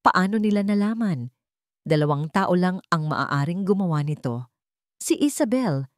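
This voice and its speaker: A woman's voice: lowish voice, darkish tone, dry recording, clean studio sound. A Filipino woman narrating a dramatic story as a scripted storyteller.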